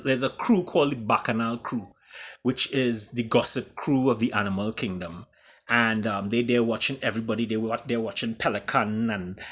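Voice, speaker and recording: A man with a Trinidadian accent, an author and storyteller speaking theatrically, with booming emphasis and hushed drops. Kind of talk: dramatic storybook reading and introduction.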